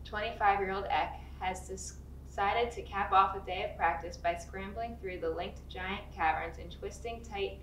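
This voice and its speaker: A woman's voice: medium pitch, a bit bright. A woman reading a nonfiction book chapter aloud for a school reading project. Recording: echoey, distant mic with clear background noise.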